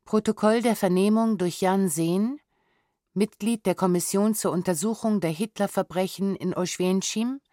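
A woman's voice: mid-range voice, warm tone, no room sound. A woman narrating a scripted documentary audiobook in a formal, factual way, close to a studio microphone. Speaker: narrator announcing and reading documents.

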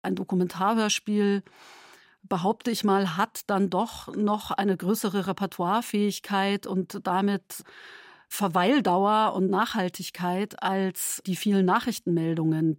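A woman's voice: medium pitch, steady tone, measured pace, dry recording, clean studio sound. A woman giving an explanatory interview statement about radio production in a careful, considered way. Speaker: radio play maker.

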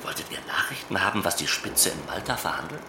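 A wary Soviet KGB officer in a dramatised dialogue. His low voice creaks as he probes tersely and reproachfully. The sound is roomy, with loud noise around him. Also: sing-song, fast talker, in a whisper. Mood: probing, reproachful. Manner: terse, probing questioning. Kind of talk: dramatised reading of a literary dialogue.

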